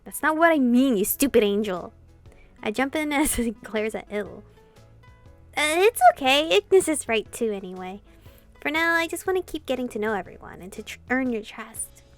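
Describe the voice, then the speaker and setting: High-voiced woman streaming a game. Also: animated, moderate pace, dry recording. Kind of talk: reading game dialogue aloud with casual commentary. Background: game music.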